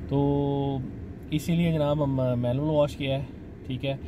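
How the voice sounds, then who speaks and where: A middle-aged man from Pakistan, chatting casually in his vlog as he explains things slowly and keeps addressing the viewer politely. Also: medium pitch, level tone, background noise. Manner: chatty, explains slowly, says "janab" often. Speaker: vlogger dad. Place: outdoors at a car wash and in a car.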